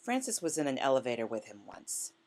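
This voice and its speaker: A woman reading literary fiction aloud as scripted narration, in a measured manner. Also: a bass voice, big pitch swings, darkish tone. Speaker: author reading a short story.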